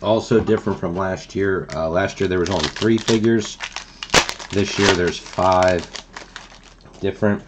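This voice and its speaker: A man hosting a trading card break, hoarse from a cold, explaining the set in a relaxed way with many fillers. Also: low-pitched, measured pace, pauses often, noisy background. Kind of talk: casual card-opening commentary. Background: crinkling wrappers and clicks.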